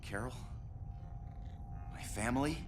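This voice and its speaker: A man's voice: medium pitch, slow pace, noisy background, natural voice. A man playing an anxious, loyal superhero in a video game, earnest and imploring in manner. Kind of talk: dramatic video game acting.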